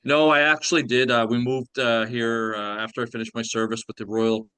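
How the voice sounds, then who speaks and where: A man's voice: medium pitch, little pitch movement, a little fast, sharp tone, clear, resonant tone, no echo. An older man, chatting casually as co-host over a remote call. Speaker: co-host, retired police officer. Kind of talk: casual chat.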